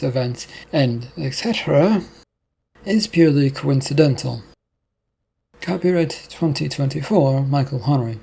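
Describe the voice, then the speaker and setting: A man narrating his own fiction story in a measured reading. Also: medium pitch, vocal fry, mellow, slightly rough, low-quality recording. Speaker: author and narrator. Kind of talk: scripted audiobook narration.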